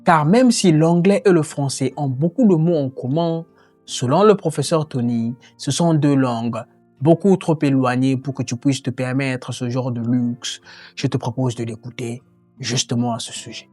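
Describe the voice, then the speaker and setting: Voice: mid-range voice, moderate pace, warm tone, no room sound, soft background music. A voice narrating a French-language lesson on learning English, earnest and explanatory. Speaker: language teacher.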